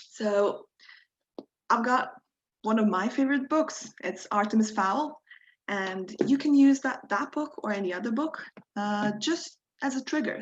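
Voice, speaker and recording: A young woman walks viewers through a live online workshop, friendly and encouraging, slipping now and then on small grammar points. Her voice sits at a middling pitch for a woman. The stream audio is a little dull and lo-fi. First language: Croatian. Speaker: workshop leader.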